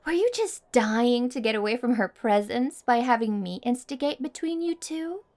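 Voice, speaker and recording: Woman acting a haughty, high-voiced popular girl. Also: animated, normal speed, a little mellow, lightly acted. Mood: smug, teasing.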